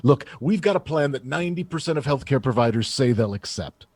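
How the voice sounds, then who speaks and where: An actor playing a confident, combative presidential chief of staff in a radio theater piece, answering in an assertive, polished politician's manner. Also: male voice, mid-range voice, expressive, fairly quick, darkish tone, husky, no echo. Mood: persuasive. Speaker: actor in an audio drama.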